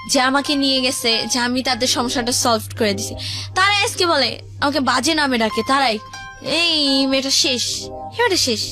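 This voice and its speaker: High-voiced young Bangladeshi woman storytelling. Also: pushed and bright. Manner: colloquial, acts out quoted speech. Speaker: guest telling her life story. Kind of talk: conversational personal storytelling.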